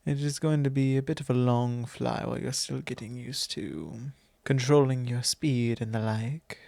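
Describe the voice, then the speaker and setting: A man voicing a doting, possessive vampire lord in an ASMR roleplay, with soft, genteel phrasing that slips into breathy whispers, close on the mic. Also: mid-range voice, fairly even intonation, dry recording, lightly acted. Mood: attentive, protective. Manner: soft, drawn-out, genteel old-fashioned phrasing. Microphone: close microphone.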